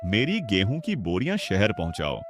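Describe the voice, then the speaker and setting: A man voices a generous village headman in a cartoon, confident and kindly condescending. His voice is low and moves lively in pitch. Music plays clearly behind him. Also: moderate pace. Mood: benevolent. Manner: benevolent, confident. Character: generous older male village headman, warm and patronizing. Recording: background music under voice.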